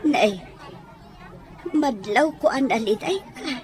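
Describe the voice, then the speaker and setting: A woman's voice: high-pitched, lively intonation, slow, crowd noise. A Filipino woman playing a kindly, humble, motherly servant, emotive and deferential, in a melodramatic audio drama.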